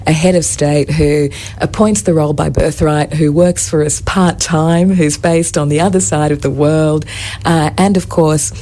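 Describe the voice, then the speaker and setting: A woman co-chairing an advocacy group, answering interview questions in a measured, articulate way. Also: deep voice, steady tone, mellow. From Australia.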